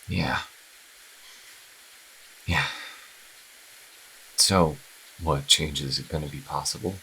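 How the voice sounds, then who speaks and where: A man playing a troubled, conflicted young priest in an audio drama, halting and brooding. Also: rumbling low, steady tone, vocal fry, pushed and bright, breathy edge, full character voice, low-quality recording. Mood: frustrated. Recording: faint background noise.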